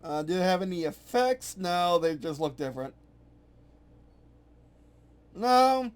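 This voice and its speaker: Guy muttering to himself at his computer, kinda high voice, keeps correcting himself. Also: very animated, slow, clean tone. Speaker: game designer streaming his work. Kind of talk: casual thinking aloud while editing.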